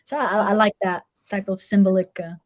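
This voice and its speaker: Dutch-born woman guest, mixed international accent, chatty and halting with fillers. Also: medium pitch, flat delivery. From Netherlands. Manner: many fillers and restarts, cheerful. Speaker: agency CEO guest. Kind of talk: casual interview chat.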